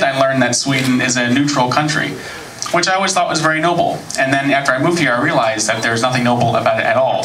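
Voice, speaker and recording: An American man in his thirties performing a stand-up comedy set on a club stage, with a dry, deadpan delivery. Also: medium pitch. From United States. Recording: echoey club recording from far off. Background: audience laughter.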